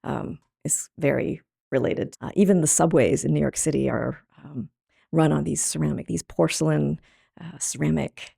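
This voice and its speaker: A middle-aged woman who grew up in Thailand, an artist talking through her story in a thoughtful, halting way with many pauses and ums. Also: low voice, gravelly, warm tone, husky. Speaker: artist guest. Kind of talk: reflective interview talk.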